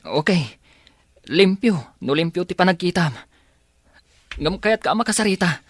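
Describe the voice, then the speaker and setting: A man's voice: high-pitched, animated, moderate pace, dry recording. An actor playing a concerned young man, a dutiful son, questioning and earnest in a radio drama. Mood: insistent, baffled.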